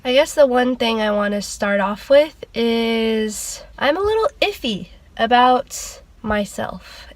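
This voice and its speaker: A woman's voice: medium pitch, no room sound. A young woman talks casually to her camera, her voice stuffy and nasal from a lingering cold. She rambles along, circling back with plenty of "like" fillers. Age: young adult. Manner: rambling, chatty, lots of "like" fillers. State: congested from a cold. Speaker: vlogger. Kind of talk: casual sit-down chat.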